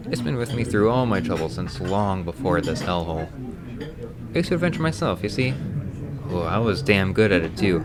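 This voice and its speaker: A man's voice: fairly low pitch, natural voice. A man voicing a boastful, cynical ex-adventurer drinking in a tavern in a roleplay piece, with a sardonic, bragging manner. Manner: cocky, sardonic, slurred bragging. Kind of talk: romance roleplay ASMR.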